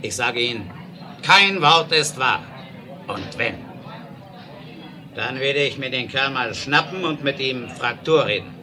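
An actor playing a hot-tempered, bullying railroad boss in a 1970s German audio drama, speaking in a commanding, emphatic way. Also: male voice, medium pitch, expressive, a little slow, long pauses, crowd noise, natural voice. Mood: angry, defiant. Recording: noisy 1970s radio play record.

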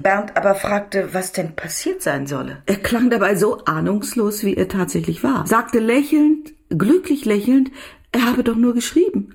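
A woman's voice: mid-range voice, creaky, a little mellow. An actress playing a devoted woman doctor who tells of her love in a reflective, intimate radio drama monologue.

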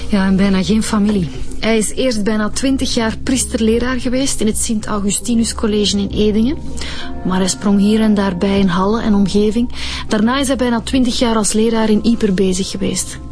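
A woman's voice: mid-range voice, steady tone, brisk, a little mellow, soft background music, close to an everyday voice. A woman playing a businesslike police inspector in a radio drama, conversational and direct with a Flemish accent. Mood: matter-of-fact. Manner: direct, conversational, slightly hesitant. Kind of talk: naturalistic radio drama acting.

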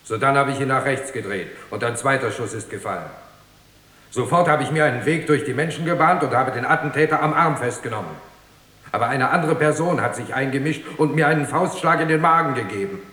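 A man's voice: medium pitch, brisk, bright, forceful tone, lightly acted. Man acting an officious policeman, echoing hall. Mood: officious, aggrieved. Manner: formal, precise, officious. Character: aggrieved, officious male police officer testifying. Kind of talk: radio drama acting. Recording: echoey hall with long reverb.

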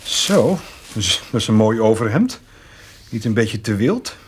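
An actor playing a hesitant, plain-spoken, good-natured farmer in a naturalistic radio drama, with a husky voice. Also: male voice, fairly low pitch, sing-song, unhurried, a little hiss or hum.

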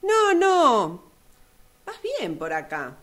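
A woman's voice: fairly high pitch, huge pitch swings, very slow, a bit bright, full character voice. An Argentine woman acting in a radio drama as a cocksure, insistent navigator in a car. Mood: breezy, insistent. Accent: Argentine, Rioplatense.

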